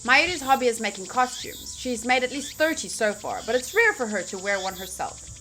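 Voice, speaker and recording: High, bright-voiced woman doing playthrough commentary. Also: expressive, brisk, a little hiss or hum. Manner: reacts playfully, acts out lines between reading. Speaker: streamer playing a visual novel. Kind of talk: playthrough commentary and reading text aloud. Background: game music.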